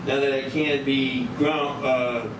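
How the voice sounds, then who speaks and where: A man playing a hapless comic character in a disaster spoof with halting delivery, recorded at a distance in an echoey, noisy room. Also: mid-range voice, level tone, natural voice. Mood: halting concern. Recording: echoey room, distant mic, background noise.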